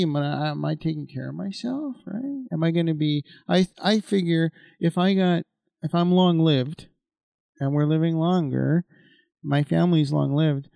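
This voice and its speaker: A man's voice: a little high, creaky, a little mellow, no echo. A man in his fifties co-hosting a casual podcast chat, talking in a loose, rambling way with lots of fillers and asides. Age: 50s. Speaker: podcast co-host.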